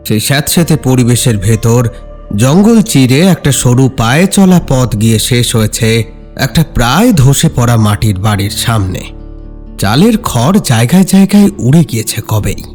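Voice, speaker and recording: Male narrator for horror folk tale: mid-pitched, measured and suspenseful, with music underneath. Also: darkish tone. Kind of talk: dramatic scripted horror story narration.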